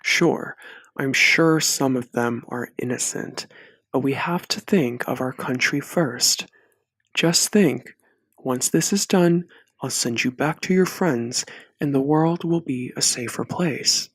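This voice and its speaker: An actor playing a coercive villain in an audiobook, quietly persuasive and patronizing, with false care. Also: male voice, mid-range voice, slow, husky, no room sound, exaggerated voice. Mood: coaxing menace. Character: male villain, falsely caring, coercive older brother. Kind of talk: audiobook character acting.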